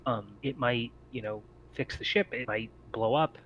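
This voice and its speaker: A man's voice: mid-range voice, moderate pace, highs cut off, slight background noise. A man narrating a tabletop role-playing game as game master, explaining things informally.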